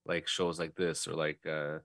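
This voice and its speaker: A Canadian man co-hosts a casual podcast. His voice is very low and sits dry and close to the mic. He talks in a laid-back way, joking and full of fillers. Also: little pitch movement, unhurried, a bit bright, no room sound. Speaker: podcast co-host. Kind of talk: casual chat.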